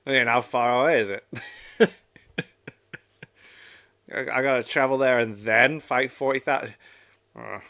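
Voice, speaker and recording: Bright-voiced man giving casual reactions. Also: mid-range voice, lively intonation, slow pace, long pauses. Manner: informal, swearing, acts out imagined dialogue. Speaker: reaction video host.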